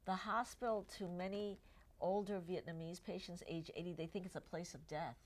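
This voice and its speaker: A woman's voice: lowish voice, fairly even intonation, normal speed. A middle-aged woman whose first language is Cantonese, hosting a health talk show and chatting with her guests, often asking them to agree. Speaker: eye doctor and show host. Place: TV studio.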